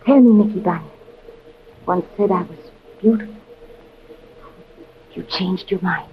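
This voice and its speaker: Casting: actress for femme fatale foreign agent, cool, formal, teasing, calmly dangerous, old radio serial with dull noisy sound. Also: female voice, lowish voice, close to an everyday voice. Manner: formal, measured, coolly teasing. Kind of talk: old-time radio adventure acting.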